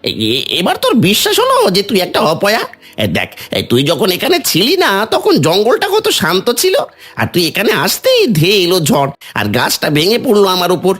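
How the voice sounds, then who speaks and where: Guy doing grumbling superstitious woodcutter, cartoon style, raspy voice jumping all over the place. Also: high-pitched, huge pitch swings, moderate pace, a bit bright, faint music behind, heavily acted. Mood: irate. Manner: agitated scolding with stuttered exclamations. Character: hot-tempered superstitious village woodcutter, grumbling and blaming. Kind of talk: cartoon voice acting.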